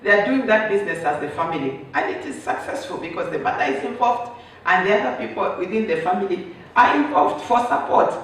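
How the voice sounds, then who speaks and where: A hoarse man with a high voice gives a workshop talk, explaining his points step by step and answering his own rhetorical questions. He is heard from a distance over a microphone. The sound carries heavy echo from a large hall. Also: lively intonation, brisk, fairly bright tone. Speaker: workshop presenter. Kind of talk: conference presentation. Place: conference hall. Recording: roomy echo, voice from a distance, faint noise.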